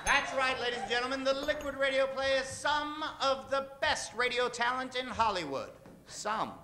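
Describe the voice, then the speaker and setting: A man's voice: very high-pitched, expressive, normal speed, music in the background. A man hosting a live comedy radio show from a theater stage, bantering with the audience and drawing out names in a theatrical announcer style.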